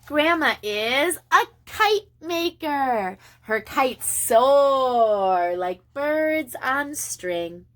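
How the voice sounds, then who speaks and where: Expressive, slow-paced woman reading to preschoolers. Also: high-pitched. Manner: sing-song, expressive, deliberate pauses. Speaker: preschool teacher. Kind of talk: reading a picture book aloud to young children.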